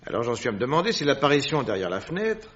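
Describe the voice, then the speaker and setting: Casting: sharp-minded sleuth, medium-pitched voice, deliberate and musing, radio play with dull lo-fi sound. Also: male voice. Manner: deliberate, reasoning aloud. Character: Victorian detective, keen, analytical, musing. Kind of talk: French radio drama acting.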